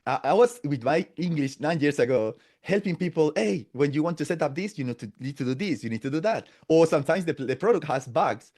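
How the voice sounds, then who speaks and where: A man's voice: a little high, expressive, a little fast, hardly any pauses, a little mellow. Man telling his story. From Latin America. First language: Spanish. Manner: restarts a lot, tags phrases with "no?" and "right?". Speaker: podcast guest. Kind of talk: casual interview storytelling.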